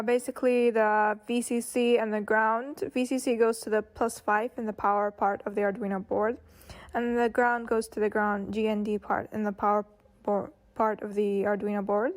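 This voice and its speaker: A woman giving an informal explanatory project presentation, hesitant and often repeating herself as she goes. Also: a little high.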